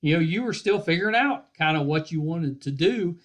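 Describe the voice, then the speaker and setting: Male podcast host; relaxed, self-correcting questions, somewhat high-pitched. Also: fairly quick. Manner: relaxed, repeats words as he restarts. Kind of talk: casual interview chat.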